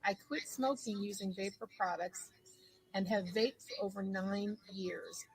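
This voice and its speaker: Woman in her fifties, mid pitch, plain matter-of-fact telling. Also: a little slow, slight background noise, poor audio. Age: 50s. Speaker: vaper giving a testimony. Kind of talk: short recorded testimony.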